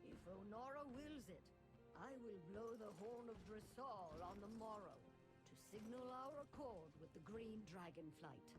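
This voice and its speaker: A woman's voice: medium pitch, expressive, measured pace, lightly acted, poor audio. An actress playing a dignified female centaur clan leader in a fantasy video game, speaking solemnly, formally and with command. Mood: stern. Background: game music.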